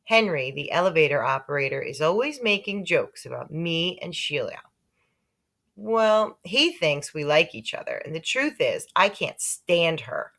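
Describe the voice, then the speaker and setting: A woman reads a children's story aloud with plenty of expression. Her voice sits a little low but is bright and forward, and it moves widely up and down as she narrates. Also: a little slow. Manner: animated, with character voices for dialogue. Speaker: audiobook-style reader.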